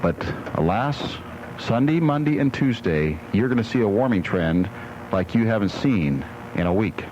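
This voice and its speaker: Animated low-voiced man giving the weather. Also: normal speed. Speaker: radio weather forecaster. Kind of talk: chatty weather report with banter. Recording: dull AM radio aircheck, faint noise.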